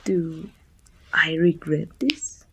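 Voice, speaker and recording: A woman from Mexico playing a weary, bittersweet supervillain in an ASMR roleplay, breathy and often near a whisper, with slow, deliberate phrasing. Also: sits low, expressive, bright, forceful tone, slight background noise, exaggerated voice. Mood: pensive, hushed. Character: weary, bittersweet female supervillain confronting a dying rival.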